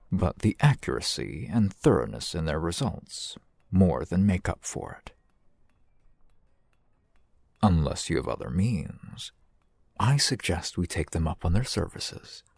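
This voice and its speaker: A man playing a courteous, shrewd fantasy shopkeeper in an ASMR roleplay, speaking in formal, measured, polite phrases. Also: low-pitched, vocal fry, darkish tone, no room sound, full character voice. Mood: decisive. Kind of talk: ASMR roleplay, scripted character acting.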